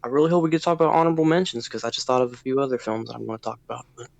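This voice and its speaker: A man's voice: mid-range voice, racing, vocal fry, darkish tone, no room sound. A man giving his opinions casually as a panelist on a podcast.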